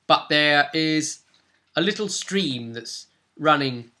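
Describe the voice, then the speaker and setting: A man's voice: a little high, expressive, slow, pushed and bright. A man casually recapping a tabletop role-play session as game master, rambling along and often seeking agreement with tag questions.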